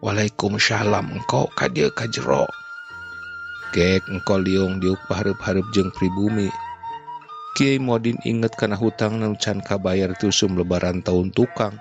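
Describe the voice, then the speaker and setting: A man reading a classic folk tale aloud in a steady, measured way, over background music. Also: low-pitched. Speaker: storyteller. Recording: music playing underneath.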